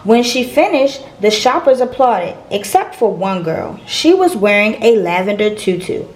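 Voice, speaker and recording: A woman's voice: medium pitch, sing-song, a little slow, slight background noise, low-quality recording. A woman reading a children's picture book aloud as a storyteller.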